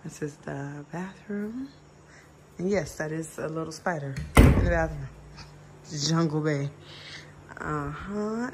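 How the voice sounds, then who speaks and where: A woman's voice: low-pitched, darkish tone, slight background noise. A woman in her twenties chatting casually to viewers as a travel vlogger, filming herself on a phone in a villa. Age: 20s. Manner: chatty, addresses viewers as y'all. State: just woken, raspy voice. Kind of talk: casual vlog walkthrough. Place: resort villa bedroom, indoors. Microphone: phone held at arm's length.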